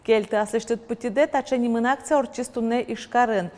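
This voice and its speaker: A woman reading the regional news as an anchor in a steady, scripted delivery. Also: fairly high pitch, brisk. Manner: steady, even newsreader delivery. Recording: long reverb, faint noise.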